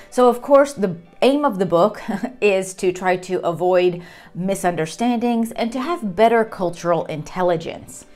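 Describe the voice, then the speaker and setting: A woman in her thirties explaining a topic in an educational video, talking clearly and gesturing as she goes. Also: fairly low pitch, darkish tone. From United States. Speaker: lecturer. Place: small room indoors.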